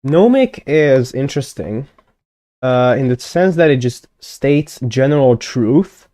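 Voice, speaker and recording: A man's voice: medium pitch, lively intonation, slow pace, dark tone, dry recording, studio quality. A young Hungarian man chatting casually on a live stream, thinking aloud with many fillers and self-corrections as he plans a constructed language. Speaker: conlang streamer.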